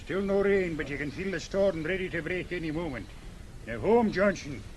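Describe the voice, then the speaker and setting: A man's voice: fairly high pitch, animated, normal speed, slight background noise, close to an everyday voice. An actor playing a weary, grumbling senior policeman with a dry manner, in radio drama style. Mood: exasperated.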